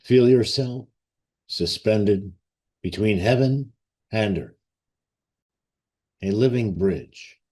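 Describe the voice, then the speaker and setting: A man leading a guided meditation and ceremonial invocation in a solemn, reverent, measured way with long pauses. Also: fairly low pitch, darkish tone, slightly rough.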